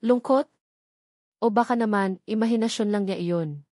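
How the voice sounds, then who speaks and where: Female audiobook narrator for serialized drama. Measured, solemn storytelling, mid-range voice, dry recording. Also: mid-range voice, darkish tone, no room sound.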